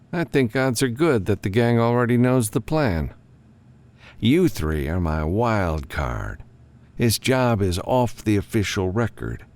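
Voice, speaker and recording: Give the audiobook a grim, commanding lawman as acted by a male narrator. He briefs in a frank, businesslike way, raised in pitch, with wide emphatic swings and a gravelly creak. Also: darkish tone. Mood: frank, uneasy.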